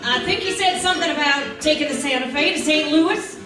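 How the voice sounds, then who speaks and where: A very echoey, distant recording with music behind. A woman acts out a brash, gun-toting frontier saloon woman from a radio western, high in pitch. Her talk is loud, bossy and folksy. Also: fairly quick, close to an everyday voice. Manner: loud, bossy, folksy Old West speech. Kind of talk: old-time radio western acting.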